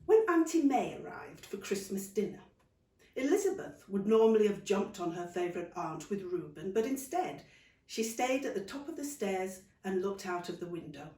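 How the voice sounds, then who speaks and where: A woman narrating a children's story expressively, in measured phrases with dramatic pauses. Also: medium pitch, big pitch swings, dark tone. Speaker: author reading her own story. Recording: roomy sound, distant microphone.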